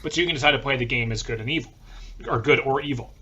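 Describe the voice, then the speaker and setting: A man chatting casually as a podcast panelist in loose, halting phrases peppered with "like". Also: mid-range voice, bright, forceful tone, slightly rough, highs cut off.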